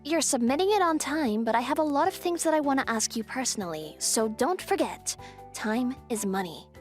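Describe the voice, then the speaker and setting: Woman acting stern, high-voiced office boss. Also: expressive, soft background music. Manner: stern, brisk, commanding. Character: tough, feared female office boss, secretly caring. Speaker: voice actress. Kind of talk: dramatized manga dub.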